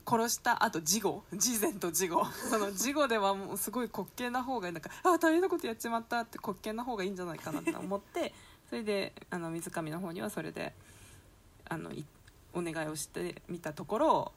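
A woman's voice: mid-range voice, lively intonation, fairly quick. A woman hosting a casual group chat as a podcast presenter. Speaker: host of a theatre company's talk podcast.

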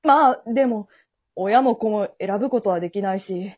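A woman's voice: medium pitch, moderate pace, darkish tone, lo-fi sound, natural voice. A woman acting in an amateur radio drama as a polite, kindly male guide of the afterlife, speaking in an earnest, businesslike, reassuring way. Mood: earnest, probing.

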